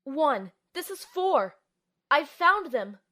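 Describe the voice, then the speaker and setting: A woman's voice: sits high, slow, heavily acted. A woman playing an earnest, determined young operative, telling and acting out her mission expressively in an audio drama. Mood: urgent. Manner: expressive, storytelling. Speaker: narrator and lead character.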